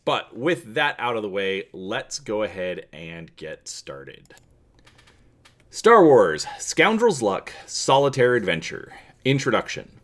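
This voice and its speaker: A man's voice: on the low side, very animated, slow pace, a bit bright. A middle-aged man hosting a tabletop gaming channel, talking in a casual, presenter-like introduction style.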